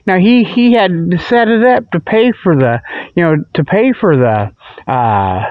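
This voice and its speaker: Folksy guy doing a rambling comedy radio report, bit high voice, muffled dry lo-fi sound. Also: animated, unhurried, no room sound. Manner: folksy, ungrammatical, digressive. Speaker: comic radio report host. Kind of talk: rambling mock sponsor report. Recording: dull lo-fi, dry close sound.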